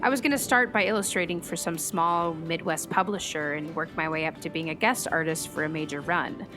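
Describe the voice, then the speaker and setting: A woman's voice: deep voice, a little fast, over music, lightly acted. A woman acting in a radio play as a thoughtful meteorologist, speaking in a natural, conversational storytelling way. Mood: wistful, open. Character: thoughtful female meteorologist and hobby comic illustrator.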